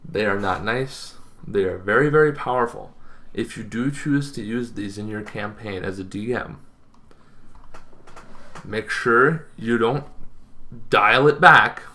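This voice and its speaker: A young adult man giving an informal explainer to camera as a host, talking in an animated, conversational way and thinking aloud with asides. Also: on the low side, measured pace, pauses often. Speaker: host of a tabletop roleplaying advice channel. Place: small bedroom, indoors.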